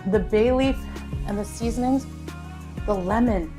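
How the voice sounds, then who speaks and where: A woman's voice: fairly high pitch, measured pace. A woman talking casually to viewers in a cooking and tasting vlog. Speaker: food vlogger. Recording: music behind, played-back online video.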